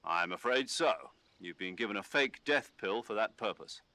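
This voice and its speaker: A man voicing a calm commanding officer who gives instructions over the radio in a game. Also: fairly low pitch, no room sound. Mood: steady. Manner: measured, instructive briefing tone. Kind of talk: game dialogue.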